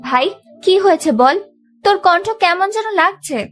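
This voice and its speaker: A woman's voice: extremely high pitch, lively intonation, a little slow. A woman playing a caring, bossy young elder sister in an audio love drama, concerned and scolding. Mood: concerned, soothing. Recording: background music under the voice. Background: music.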